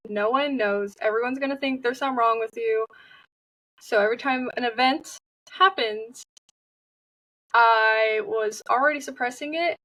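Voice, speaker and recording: A young woman with a fairly high voice talks candidly as an interview guest, using plenty of fillers as she explains herself. She sounds somewhat distant from the mic in a dry, dead-sounding space. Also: fairly bright tone, no echo. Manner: open, candid, many fillers. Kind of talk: personal interview talk.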